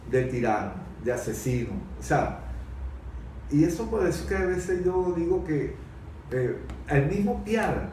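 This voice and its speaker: Hesitant male debater, mid-pitched, repeating words, distant from the mic in a very echoey space. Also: darkish tone. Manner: halting, repeats words while searching for phrasing. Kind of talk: conversational political-historical debate. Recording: very echoey room, distant mic, faint noise.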